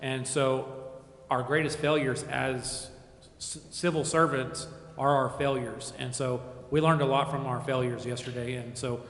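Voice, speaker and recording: Man civil servant, earnest measured statement, flat intonation, big echoey room. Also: mid-range voice. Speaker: civil servant addressing a council. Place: large indoor council chamber. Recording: echoey council chamber.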